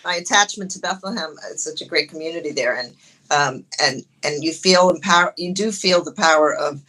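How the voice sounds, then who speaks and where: A woman from Ecuador chatting as a guest musician, passionate and halting, with many restarts. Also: low voice, bright, forceful tone, rough recording. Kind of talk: casual interview chat.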